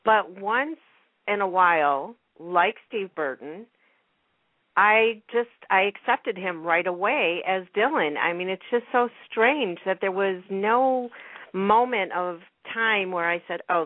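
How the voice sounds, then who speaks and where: A woman's voice: mid-range voice, expressive, measured pace, no room sound. A woman on a radio panel, chatting casually as a fan. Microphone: phone call. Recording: dry, narrow telephone line.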